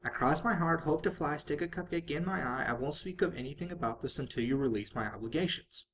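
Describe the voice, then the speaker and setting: A storybook reader voicing a hyperactive, bubbly young female cartoon pony, excitable, playful and coaxing. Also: medium pitch, quick pace, slight background noise, natural voice. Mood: playful, triumphant.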